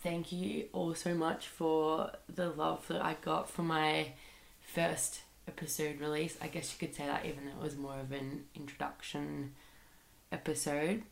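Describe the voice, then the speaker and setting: Woman, low creaky flat voice, head cold, chatty podcast host in an echoey room. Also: measured pace. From Australia. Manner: chatty, rambling, lots of fillers like "like" and "um". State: sick with a bad cold. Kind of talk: casual solo podcast monologue. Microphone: computer microphone.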